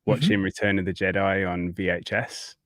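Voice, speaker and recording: A man's voice: sits low, steady tone, moderate pace, a bit bright, no echo, studio quality. A man in his thirties with a Yorkshire accent blended with Australian, chatting casually as a podcast guest. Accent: Yorkshire mixed with Australian. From England. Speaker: guest collector.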